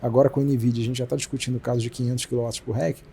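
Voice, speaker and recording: Brief: Brazilian male exec guest, rapid-fire delivery, warm mellow tone, faint hiss behind. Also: medium pitch. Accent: Brazilian Portuguese. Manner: fast, animated explaining with many restarts. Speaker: company president, guest. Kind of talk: casual business interview.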